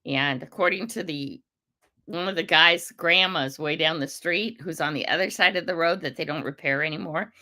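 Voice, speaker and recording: An older American woman hosting a casual livestream, greeting viewers by name and rambling along, her voice rough and congested from a cold. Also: deep voice, moderate pace. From United States. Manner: chatty, rambling, warm greetings to commenters. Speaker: livestream host.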